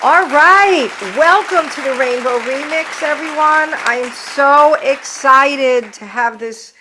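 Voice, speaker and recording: A woman's voice: high voice, slow pace, crowd noise, noisy background. A woman hosting a podcast over a video call, chatting casually and gushing with enthusiasm. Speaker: podcast host. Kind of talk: casual interview chat.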